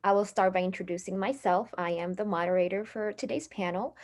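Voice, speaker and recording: A woman's voice: mid-range voice, fairly even intonation, a little fast, a little mellow. A young woman from Morocco speaking as a panel moderator, introducing a session in a formal, organized style.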